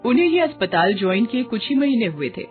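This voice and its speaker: A woman's voice: fairly high pitch, big pitch swings, fast. A woman storyteller reading scripted horror narration on a tinny recording. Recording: tinny band-limited sound with music.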